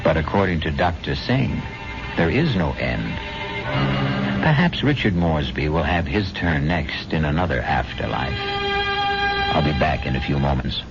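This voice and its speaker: Deep, grave older radio host. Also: male voice, animated. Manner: slow, grave delivery. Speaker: host and narrator. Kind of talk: scripted radio host narration. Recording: dull old radio broadcast with music.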